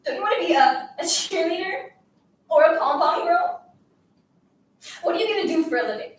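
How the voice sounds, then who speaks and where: A woman's voice: very high voice, lively intonation, normal speed, voice pushed up. A woman voicing a bullying schoolgirl in a dramatic story reading, scolding and demanding with emphatic, desperate insistence. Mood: angry, scornful. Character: bullying schoolgirl, taunting, desperate, commanding a silent classmate. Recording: echoey room, distant mic, faint noise.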